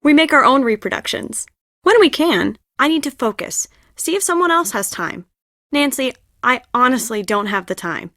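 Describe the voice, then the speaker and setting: Young woman stage director, high voice, dry close sound, theatrical, confiding, stressed, adventure game dialogue. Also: sing-song, moderate pace, fairly bright tone, no echo, heavily acted. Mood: brisk, friendly. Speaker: video game voice actor.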